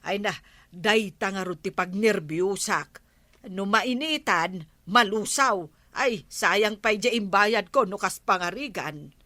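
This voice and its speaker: Fussy, anxious village woman, Ilocano radio comedy; unhurried, dry close sound, hesitant exclamations. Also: medium pitch, sharp tone, no room sound. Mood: nervous. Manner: hesitant, full of exclaimed interjections.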